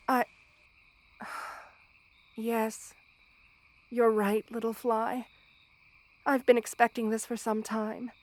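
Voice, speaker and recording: A woman's voice: fairly high pitch, no room sound, close to an everyday voice. A woman playing a kind, protective spider queen with a tender, formal manner in an ASMR roleplay. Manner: tender, doting, softly commanding, formal wording. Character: motherly female spider queen, kind, protective, regal monster. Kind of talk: ASMR roleplay audio drama.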